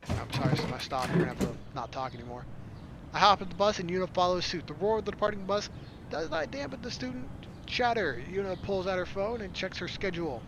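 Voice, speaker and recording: A woman's voice: fairly low pitch, lively intonation, fast, noisy background. A woman reading a visual novel aloud as a playthrough host, with flippant, casual asides. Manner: flippant, jokey. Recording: loud game music behind the voice.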